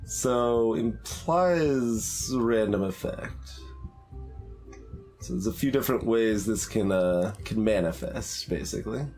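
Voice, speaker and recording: A man giving casual, halting playthrough commentary as a game streamer, thinking aloud in an analytical, musing way. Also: on the low side, a little mellow, soft background music.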